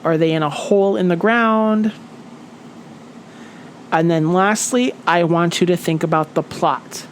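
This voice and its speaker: A woman teacher giving a children's story read-aloud lesson in a deliberate, expressive, instructional way. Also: on the low side, slight background noise.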